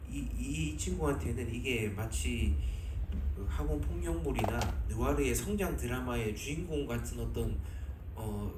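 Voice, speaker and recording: Halting man, distant in an echoey hall. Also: medium pitch. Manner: halting, searching for words, many fillers. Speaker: film director. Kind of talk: press conference answer. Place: press conference hall. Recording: echoey hall from far off, background noise.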